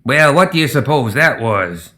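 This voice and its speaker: A male narrator plays an older gremlin sky pirate from a fantasy audiobook. He talks in a rustic, folksy way, plain and unpolished. The pitch sits raised. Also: big pitch swings, moderate pace, pushed and bright. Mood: curious. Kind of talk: dramatized audiobook character voice.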